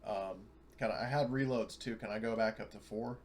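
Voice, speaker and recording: A man's voice: lowish voice, a little fast. A man chatting casually as a player in a tabletop roleplaying game, talking in a loose, rambling way. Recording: noisy room ambience on recording.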